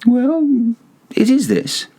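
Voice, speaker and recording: Man as a sly, high-pitched gothic schemer. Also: big pitch swings, drawn-out words, mellow, full character voice. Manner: coaxing, feigning concern. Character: sly, falsely solicitous vengeful nobleman luring his victim. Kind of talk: gothic audiobook character acting.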